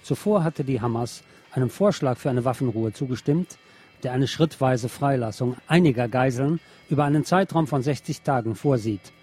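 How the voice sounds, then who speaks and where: Male news reader, mellow, slightly husky, formal scripted delivery, faint music behind. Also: mid-range voice. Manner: measured, formal read-out delivery.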